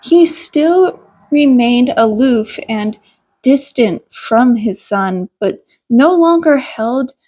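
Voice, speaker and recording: A woman's voice: on the high side, animated, takes it slowly, warm tone, rough recording. A woman reading a scripted story aloud as an amateur audiobook narrator.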